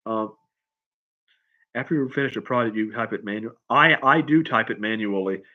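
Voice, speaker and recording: A man with a fairly low voice talks off the cuff on a livestream Q&A. He speaks haltingly, circling back and restarting phrases as he rambles through his answers. The audio is somewhat dull and lo-fi. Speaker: writer hosting a livestream Q&A. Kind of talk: casual unscripted chat, answering viewer questions.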